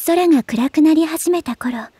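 A woman playing a gentle, refined, sentimental young lady idol in an anime voice drama, speaking softly and politely. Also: high-pitched, normal speed, lightly acted. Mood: wistful, tender. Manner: soft, polite, ladylike, wistful. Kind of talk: anime game voice drama, narration and dialogue.

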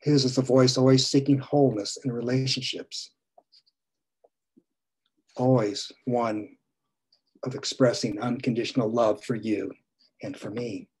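Older man preaching softly, husky and dark. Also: mid-range voice, steady tone. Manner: slow, gentle preaching with soft trailing phrase ends. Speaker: priest. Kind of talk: sermon. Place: home study, indoors. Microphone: video call webcam.

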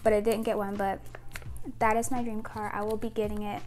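A young woman with a soft voice chatting casually to camera in her bedroom, rambling with giggly asides, on a clip-on mic. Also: medium pitch, level tone, brisk. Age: young adult. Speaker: vlogger. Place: bedroom, indoors. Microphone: clip-on mic after the first part.

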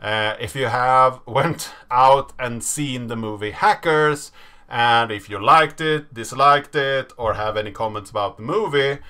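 Slow, bright-voiced man reading deliberately. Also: mid-range voice, clean tone. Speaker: host of a programming channel. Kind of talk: slow dramatic reading and presenter talk. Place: small indoor room at a desk.

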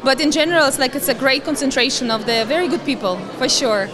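A woman's voice: high voice, quick pace. A woman from Latvia in her thirties, giving earnest answers in a conference interview, speaking into a handheld mic. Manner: earnest, slightly non-native grammar. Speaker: guest, fund managing partner. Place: conference hall, in front of a banner wall. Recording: busy conference crowd behind. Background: crowd chatter.

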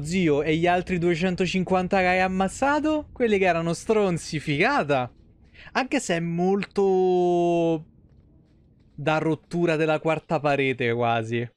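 A man's voice: on the high side, clear, resonant tone, no room sound. A man, giving casual live game commentary full of slang and swearing. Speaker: game streamer. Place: indoor room at home, streaming on webcam.

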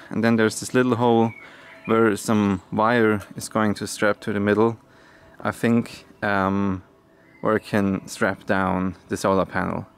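Relaxed young man with a low voice. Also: slight background noise. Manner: relaxed and self-deprecating, with many fillers and restarts. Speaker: vlogger. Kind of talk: casual DIY vlog narration. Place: inside a half-built van, outdoors beside a building. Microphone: camera mic at arm's length.

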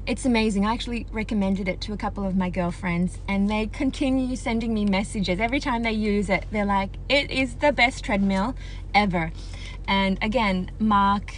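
Woman, 30s, from Romania, first language Romanian. Relaxed livestream chat with lots of laughter, light background noise. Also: medium pitch, moderate pace, low-quality recording. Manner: chatty, warm, laughs often, trails off with ums. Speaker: vlogger answering live viewer questions. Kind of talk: casual chat. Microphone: phone livestream.

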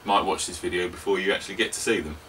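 A man's voice: deep voice, steady tone, very fast. A man in his thirties co-hosting a casual golf chat show from a small cluttered basement studio, leading segments and tossing tag questions to his co-host. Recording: distant mic, faint room hum.

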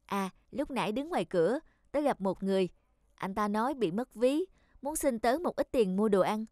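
A woman voicing a gullible, soft-hearted young woman in a cartoon, meek and apologetic. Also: mid-range voice, expressive, normal speed, no echo, studio quality, close to an everyday voice. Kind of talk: animated cartoon dubbing.

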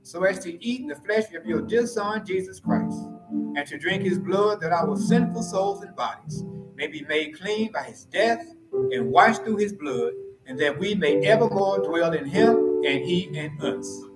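A man serving as pastor, reciting a communion liturgy in a slow, solemn, measured way from the pulpit of a church sanctuary. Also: sits high, animated, loud music behind the voice. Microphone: pulpit mic from far camera. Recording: roomy church echo.

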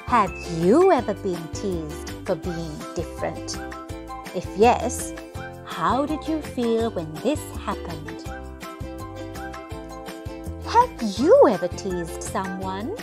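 Young woman, children's storyteller, slow pace, lively swooping intonation, music behind. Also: medium pitch. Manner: slow, expressive, measured for children. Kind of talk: scripted children's read-aloud and questions.

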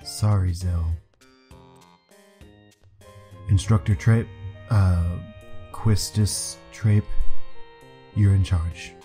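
A man acts out a stoic young squad leader from a video game. His voice is very dark and low, serious and matter-of-fact, guarded in every phrase. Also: fairly even intonation, slow, soft background music. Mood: businesslike. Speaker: fan dub voice actor. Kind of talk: video game fan dub.

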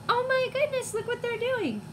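A woman in her twenties reading a picture book to young children, expressive and teacherly, pausing to put questions to listeners. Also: extremely high pitch. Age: 20s. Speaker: read-aloud storyteller for young children. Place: small room at home, indoors. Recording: clear background noise, home recording.

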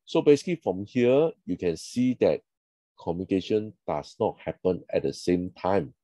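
A man with a low, mellow voice narrates a slide lecture in short, explanatory phrases. His English has non-native phrasing. The recording is dry and close. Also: very animated, moderate pace, no room sound. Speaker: instructor.

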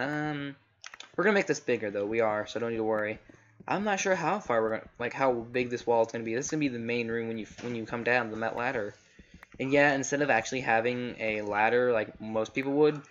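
A young man giving casual, rambling commentary while playing a game, his voice a little scratchy, often correcting himself mid-sentence. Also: mid-range voice. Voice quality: slightly scratchy. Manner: rambling, self-correcting, chatty. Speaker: gaming let's play host. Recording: slightly dull home recording with faint noise.

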